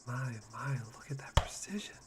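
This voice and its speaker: A performer voicing an unhinged, self-deprecating young man obsessed with hope, in whispered, breathy and fawning ASMR roleplay. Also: mid-range voice, lively intonation, moderate pace, close to an everyday voice. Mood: fascinated, musing. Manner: breathy, fawning, giggly, softly menacing.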